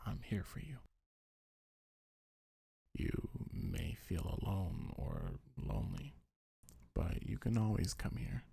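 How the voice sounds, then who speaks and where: A man speaking in a deep, breathy, husky voice, half-whispering gentle, reassuring affirmations in a soothing ASMR style. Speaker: ASMR creator reading a comfort script.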